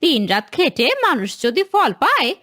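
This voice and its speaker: A woman acts out a principled cartoon wife with a very high, lively voice. She speaks plainly and admonishes her husband, with a dry close sound. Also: normal speed, no room sound, full character voice. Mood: admonishing. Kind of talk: animated moral story dialogue.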